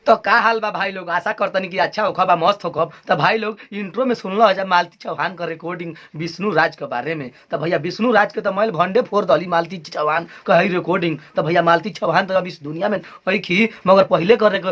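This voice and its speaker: A man's voice: sits high, a little fast, few pauses, fairly bright tone, dull audio. A man with a Bhojpuri accent hosting an informal video news commentary, speaking straight to his viewers. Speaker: video channel host.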